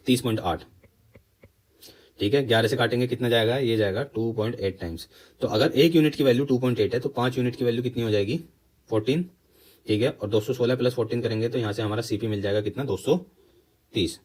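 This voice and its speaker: A man's voice: medium pitch, darkish tone. A man with an Indian accent teaching step by step, posing questions and answering them himself, switching between two languages. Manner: mixes Hindi explanation with English terms. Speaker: maths teacher for exam preparation. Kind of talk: step-by-step lesson explanation.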